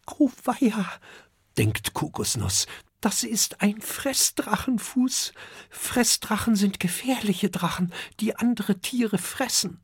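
A man voicing a small, excited boy dragon who is brave and quick to talk back, in a high, eager, childlike voice. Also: slightly rough, dry recording, studio quality, exaggerated voice. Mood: alarmed.

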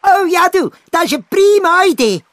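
A woman voicing a cheerful, excitable little male bird in a children's audio play, speaking with a Swiss German accent and giggling often. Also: very high-pitched, normal speed, no room sound, exaggerated voice.

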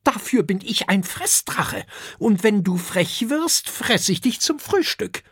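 A man's voice: sing-song, takes it slowly, a bit bright, breathy edge, dry recording, studio quality, heavily acted. A man playing a rude young eater-dragon. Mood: threatening. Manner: snappy, scornful, high-pitched.

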